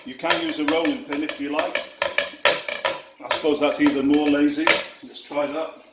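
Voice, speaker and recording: A man with a Yorkshire accent giving a relaxed cooking demonstration in his home kitchen, easygoing and self-deprecating as he works. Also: a little high, normal speed, over loud music. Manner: easygoing, self-deprecating, talks while working. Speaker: cooking video host. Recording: echoey kitchen with clattering dishes.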